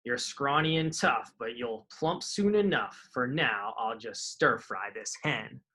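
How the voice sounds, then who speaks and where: A man's voice: mid-range voice, lively intonation, measured pace, pushed and bright, husky, exaggerated voice. A man voicing a sly, menacing fox villain in a children's storybook, with a taunting manner. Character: sly, menacing female fox who cooks chickens.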